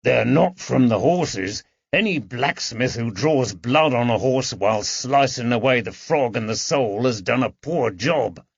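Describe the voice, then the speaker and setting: A man's voice: medium pitch, animated, measured pace, creaky, few pauses, slightly rough, no room sound, highs cut off, full character voice. A man voicing a plain-spoken, jocular constable in a period mystery audiobook, blunt and exclamatory. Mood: shocked, certain.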